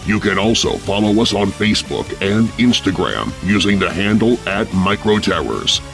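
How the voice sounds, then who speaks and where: A man reading a scripted outro announcement for a podcast in a steady promotional manner. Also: fairly low pitch, moderate pace, gravelly, faint music behind.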